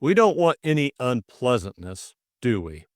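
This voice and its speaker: Man playing a stolid police superintendent, lively pitch. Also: dry recording, clean studio sound, close to an everyday voice. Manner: understated, colloquial, deliberate. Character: stolid, wooden, unhurried male police superintendent. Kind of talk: audiobook character dialogue.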